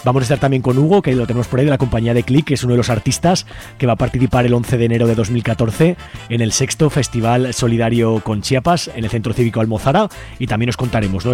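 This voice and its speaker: A man's voice: mid-range voice, darkish tone. A man hosting a radio show in a casual, chummy way. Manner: informal, chummy. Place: radio studio.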